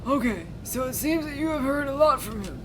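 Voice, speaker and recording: Woman doing a calm, high-voiced knight captain. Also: a little fast, background noise. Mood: calm, knowing.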